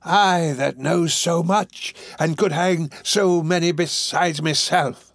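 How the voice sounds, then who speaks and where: A man's voice: medium pitch, dry recording, clean studio sound. Man acting a sly, sinister old gang boss. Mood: enraged. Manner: slow, drawn-out, emphatic, insinuating delivery. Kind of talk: dramatised audiobook of a Victorian novel.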